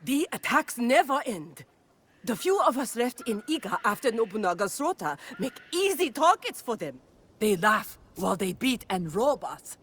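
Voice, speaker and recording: A woman's voice: fairly high pitch, sing-song, normal speed, fairly bright tone, breathy edge, exaggerated voice. A woman playing a poor, weary old village woman in a game, plaintive but dignified. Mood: weary, pleading. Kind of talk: dramatic game cutscene acting.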